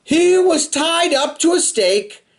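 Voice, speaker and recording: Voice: very high-pitched, lively intonation, takes it slowly. A storybook reader reading aloud to children in a theatrical, playful way with chatty asides.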